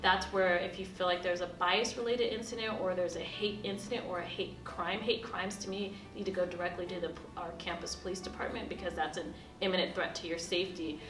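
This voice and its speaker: Woman diversity officer, low and roomy. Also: recorded from a distance. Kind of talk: documentary interview.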